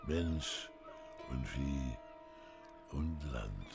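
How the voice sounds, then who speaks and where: An actor playing an old villager who tells of dark omens in a rambling, fervent way, in a radio drama. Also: male voice, a bass voice, animated, drawn-out words, vocal fry, exaggerated voice. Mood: ominous, rambling. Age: older. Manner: rambling, ominous, fervent storytelling. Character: old doom-saying North Frisian villager telling omens. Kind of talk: German radio drama acting. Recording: music behind the voice.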